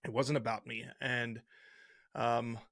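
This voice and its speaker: A middle-aged man with a softened South African accent talks as an interview guest, telling stories in a conversational way. His mid-pitched voice sits in a very dry space with little room sound. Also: little pitch movement, takes it slowly, no echo. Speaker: interview guest, conservation scientist. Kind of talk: conversational storytelling.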